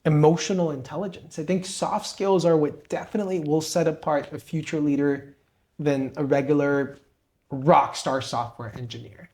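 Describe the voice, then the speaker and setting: A man's voice: on the high side, a little mellow. A young man from Peru, co-host of a podcast, telling his story and giving advice in an earnest, motivational way. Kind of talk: conversational interview.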